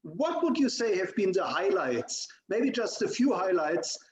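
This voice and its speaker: High, lively-voiced male interviewer. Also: a little slow, a little hiss or hum. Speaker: interviewer, host. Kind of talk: interview questions. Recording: echoey room sound.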